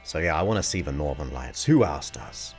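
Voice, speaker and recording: A man in his forties, a hypnotist, hosting a casual live Q&A, chatting in a relaxed way and reading viewer questions aloud. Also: very deep voice, a little fast, faint music behind. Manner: relaxed, chatty, reads questions aloud and muses between them. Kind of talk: casual live-stream Q&A chat.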